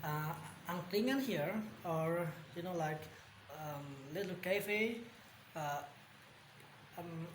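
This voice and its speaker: A young man from West Java with an Indonesian accent, talking casually for a vlog and pausing often with lots of ums. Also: on the high side. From Bandung, West Java, Indonesia. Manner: hesitant, many ums and pauses. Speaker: vlogger. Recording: echoey room with background noise.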